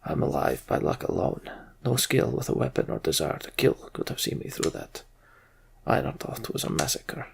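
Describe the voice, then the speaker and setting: Casting: male player as ancient giant warrior for fantasy game, grim battle survivor, bitter and blunt, heavy gravel, husky. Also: deep voice, very animated, normal speed, voice pushed down, heavily acted. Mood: haunted, grave. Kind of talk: voiced fantasy game dialogue.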